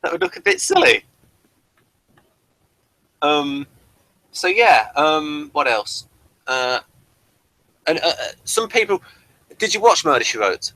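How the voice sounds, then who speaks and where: Guy hosting a rambly review chat, sharp forward voice, dry sound, keeps pausing and wandering off. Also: a little high, sing-song, no echo, rough recording. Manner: hesitant, digressive, explanatory. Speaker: host of a TV review show.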